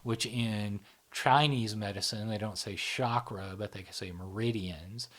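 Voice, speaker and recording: Male guest, lowish voice, rambling explainer, fillers and tag questions, trailing soft endings. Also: a little slow. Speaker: psychology channel founder, guest. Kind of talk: casual explanatory conversation.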